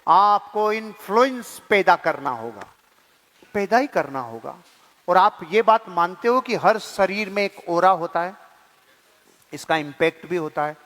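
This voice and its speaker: A man's voice: high voice, expressive, unhurried, fairly bright tone. A man giving an emphatic motivational seminar speech, repeating key phrases and putting rhetorical questions in colloquial speech sprinkled with English words. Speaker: motivational speaker and network marketing leadership trainer. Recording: long hall reverb.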